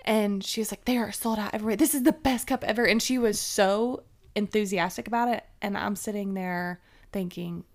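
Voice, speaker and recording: A young woman talks casually on a podcast, laughing often between quick remarks. Her mid-pitched voice carries a gravelly fry at the ends of phrases. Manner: giggly, animated, quick asides. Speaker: podcast co-host. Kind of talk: casual chat.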